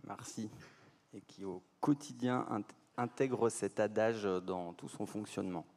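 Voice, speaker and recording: A man's voice: fairly low pitch, fairly even intonation, measured pace, creaky, a little mellow. A man moderating a conference panel, brief, handing over turns to speakers. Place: conference hall. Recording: distant with long hall reverb.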